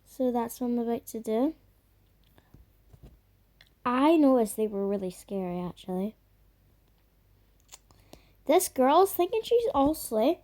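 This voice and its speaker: Woman, high voice, mellow tone, croaky, rambling gameplay chatter. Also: big pitch swings, takes it slowly, pauses often. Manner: rambling, filler-heavy, trails off into murmurs. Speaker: gamer. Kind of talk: casual gameplay commentary.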